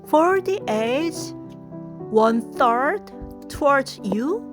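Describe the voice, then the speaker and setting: A woman's voice: high voice, lively intonation, drawn-out words. A woman with a thick Korean accent, hosting a craft tutorial and giving short step-by-step directions in simple non-native English. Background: soft piano music.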